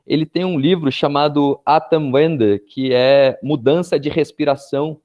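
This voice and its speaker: A man from Brazil giving an earnest, measured talk on poetry over a video call, often checking in with a short tag question. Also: fairly high pitch, fairly even intonation, a little mellow, highs cut off. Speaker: poet and teacher. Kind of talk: reflective spoken address at an online poetry event.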